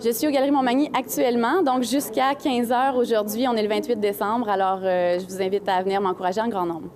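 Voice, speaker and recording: Young woman, Quebec French accent, poised interviewee, higher voice, mall crowd behind. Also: steady tone, fast talker. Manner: poised, clear and promotional. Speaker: interviewee, carnival duchess. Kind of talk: interview in public place. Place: shopping mall. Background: crowd chatter.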